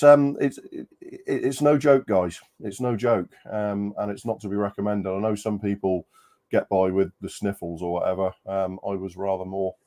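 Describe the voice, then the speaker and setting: A man's voice: sits low, a little mellow. A man co-hosting a live chat over a video call, audibly bunged up from an illness, speaking hesitantly with lots of ums and dry understatement. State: congested, still coughing. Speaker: co-host. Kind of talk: casual live-stream chat.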